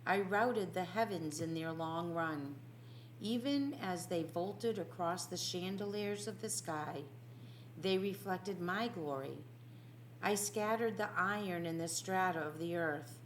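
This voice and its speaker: Woman plays anguished but resolute holy man, audiobook prayer, grave, very unhurried, faint noise behind. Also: on the low side, poor audio. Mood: grave, pleading. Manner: grave, poetic, deliberate.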